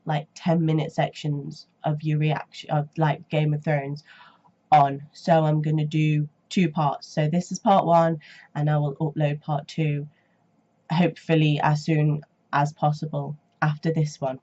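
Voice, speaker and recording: A woman's voice: deep voice, level tone, a little slow, mellow. A young woman with a cold and a blocked nose, chatting hesitantly on her reaction vlog with lots of ums and restarts. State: stuffy nose and sore throat from a cold. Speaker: reaction channel host. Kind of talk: casual reaction vlog chat. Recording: dull lo-fi home recording.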